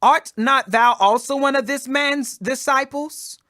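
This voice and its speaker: Guy putting on an indignant accusing servant, pitched way up high, bright and pointed. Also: measured pace, no echo, studio quality, full character voice.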